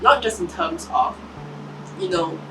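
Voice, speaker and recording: A woman's voice: lowish voice, measured pace, pushed and bright, recorded from a distance, poor audio. A woman in her early twenties talking through a reflective personal vlog, thoughtful and self-correcting, with some casual swearing. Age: 20s. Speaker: vlogger. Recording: voice a bit off-mic with room noise.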